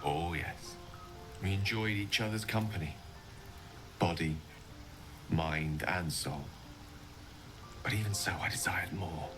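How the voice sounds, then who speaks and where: Wistful wizard in a game, deep voice, really eloquent and measured, music underneath. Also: male voice, low-quality recording. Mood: yearning, reflective. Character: eloquent, wistful male wizard, once a prodigy. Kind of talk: dramatic video game monologue.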